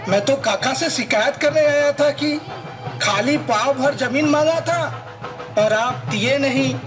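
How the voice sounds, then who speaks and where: A man's voice: extremely high pitch, measured pace, over music. A man voicing a disgruntled, self-important villager in a puppet show, complaining and defensive. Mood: aggrieved.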